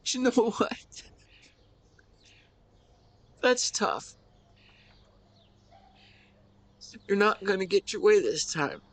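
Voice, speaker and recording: A man playing a grieving, devoted husband who speaks to his late wife in a tender, emotional, halting way, as an intimate audio roleplay monologue. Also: high voice, very animated, a bit bright. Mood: gentle teasing.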